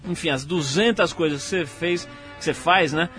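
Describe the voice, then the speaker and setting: A Brazilian man hosts a talk show in a chatty, joking manner, fixing his own words as he goes. His voice sits a little high, and soft music plays faintly behind him. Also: moderate pace. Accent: Brazilian Portuguese. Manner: chatty, jokey, self-correcting. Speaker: radio show host. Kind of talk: hosted talk show introduction and interview.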